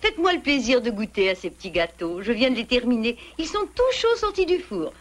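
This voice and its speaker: A woman's voice: a little high, big pitch swings, fairly quick. A woman voicing a sociable animal mother in a French cartoon, gracious and effusive. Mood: friendly, gracious. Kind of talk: French cartoon dub acting.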